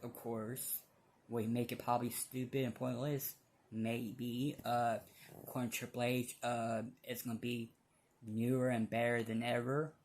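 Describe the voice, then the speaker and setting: A man giving casual opinion commentary as a fan vlogger, speaking bluntly in a halting, rambling way. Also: mid-range voice, a little hiss or hum.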